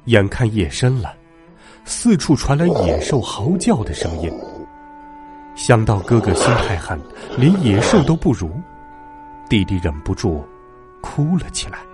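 A man narrating a bedtime story for children as host, in simple, expressive phrases. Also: fairly low pitch, unhurried. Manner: addresses young children in simple, animated phrases. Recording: music behind the voice. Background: background music.

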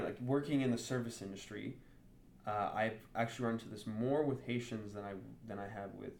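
A man's voice: lowish voice, vocal fry, a little mellow, a little hiss or hum. A native English-speaking man talking candidly and thinking aloud in a casual interview. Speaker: interview guest. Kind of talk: casual conversation.

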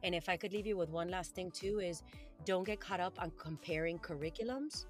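A woman's voice: fairly low pitch, fairly quick, faint music behind. A woman from Colombia whose first language is Spanish, speaking earnestly and encouragingly as a podcast interview guest.

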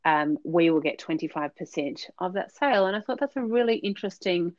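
A woman's voice: fairly low pitch, lively intonation, brisk, dry recording, dull audio. A woman speaking as a podcast guest, earnest and explanatory, with frequent fillers. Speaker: podcast guest, business consultant. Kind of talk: conversational interview answers.

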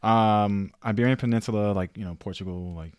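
A man's voice: fairly low pitch, a little fast, darkish tone, clean tone, dry recording. A man co-hosting a podcast in casual chat, rambling and profane, full of fillers. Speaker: podcast co-host.

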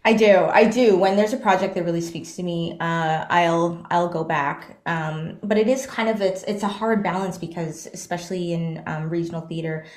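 A woman actress talking about her career as a guest in a casual interview, with lots of "um" fillers and animated laughs. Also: fairly low pitch, moderate pace, few pauses. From Bahamas, raised in Canada. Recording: roomy echo.

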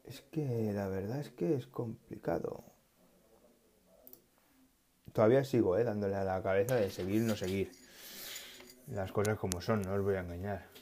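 A man chatting casually about tech news, halting often and correcting himself as he goes. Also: lowish voice, dark tone. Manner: halting, restarts and self-corrections, musing. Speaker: tech vlogger.

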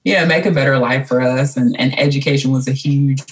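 A woman's voice: extremely low pitch, steady tone, fairly quick. A woman from Mississippi, talking reflectively and casually as a guest in an interview. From Mississippi, United States. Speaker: podcast guest, writer.

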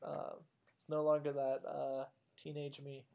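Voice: mid-range voice, monotone, unhurried, gravelly, mellow. A nineteen-year-old talks candidly about growing up and laughs easily. The voice sits in a middle range. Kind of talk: casual group chat.

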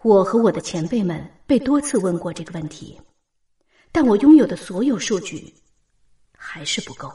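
Sci-fi audio drama: actress as all-knowing cosmic machine. Mellow, measured, impassive, with roomy reverberation. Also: female voice, mid-range voice, sing-song, lightly acted. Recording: roomy echo on the voice.

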